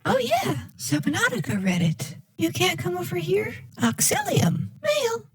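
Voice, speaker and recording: A woman's voice: very high-pitched, very animated, unhurried. A synthetic female voice reading short, disjointed text-to-speech phrases in a sing-song manner.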